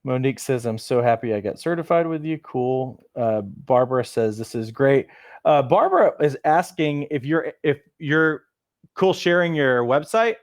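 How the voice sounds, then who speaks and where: A man's voice: medium pitch, expressive, moderate pace, no room sound, clean studio sound. A man hosting a live coaching webinar, upbeat, reading out viewer comments over a video call. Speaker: host of a coach training webinar. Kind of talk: casual live webinar chat.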